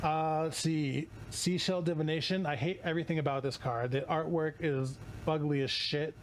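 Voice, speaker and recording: A hoarse man streaming casually while opening card packs, rambling, swearing and often restarting his sentences. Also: fairly high pitch, steady tone, moderate pace, a little hiss or hum.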